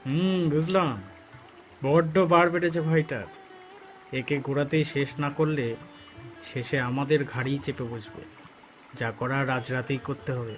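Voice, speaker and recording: A man's voice: mid-range voice, steady tone, moderate pace, long pauses, faint music behind, natural voice. Man playing a scheming, menacing villain brother. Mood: menacing, plotting. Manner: conspiratorial, menacing. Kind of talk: melodramatic cartoon voice acting.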